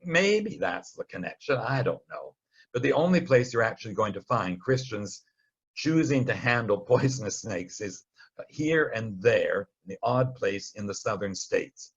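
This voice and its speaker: A man's voice: mid-range voice, lively intonation, unhurried, gravelly, slightly rough, lo-fi sound. A man giving an informal, explanatory Bible lesson in a conversational, storytelling way with some wry asides. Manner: conversational, wryly storytelling, acts out short quotes. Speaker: Bible study teacher.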